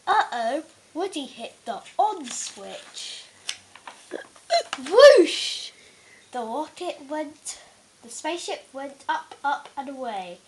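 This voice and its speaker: A woman reading a children's bedtime story aloud, haltingly, stumbling over words and correcting herself. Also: sits high, big pitch swings, a little hiss or hum. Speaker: storybook reader.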